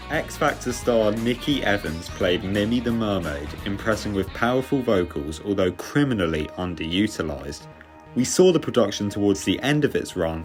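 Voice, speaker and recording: Low-voiced British man reviewing over music. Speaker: theatre reviewer and host. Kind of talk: scripted review narration. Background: music under the voice.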